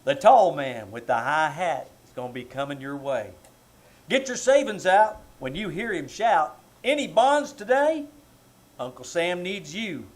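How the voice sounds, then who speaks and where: A man's voice: a little high, very animated, measured pace. A man announcing a break in a 1940s-style radio show from a theater stage.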